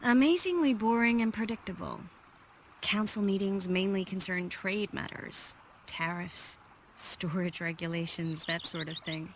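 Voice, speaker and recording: An actress playing an affectionate, worldly sorceress in a video game, speaking softly and teasingly to her lover. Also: mid-range voice, a little slow, a little hiss or hum, close to an everyday voice. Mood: calm, reflective. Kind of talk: video game cutscene acting.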